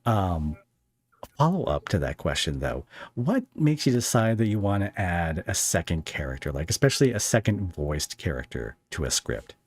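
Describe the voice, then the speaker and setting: A man hosting a casual interview, asking wandering questions in a halting way and often interrupting himself. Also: deep voice, very animated, husky. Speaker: podcast host interviewing a script writer.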